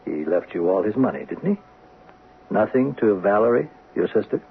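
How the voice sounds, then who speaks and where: An actor playing a frank, calmly authoritative psychiatrist in a 1970s radio drama, questioning with measured pauses. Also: male voice, fairly low pitch, a little hiss or hum. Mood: probing. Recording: thin narrow-band vintage radio broadcast.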